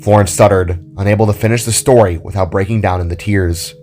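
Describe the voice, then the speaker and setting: A man's voice: lowish voice, steady tone, a little fast, darkish tone. A man narrating a dramatic scripted story as its storyteller. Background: music.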